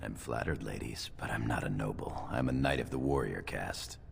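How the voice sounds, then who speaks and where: An actor playing a loyal male dwarf knight in a video game, formal and measured, with a deep gravelly voice. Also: a little hiss or hum, exaggerated voice. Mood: respectful.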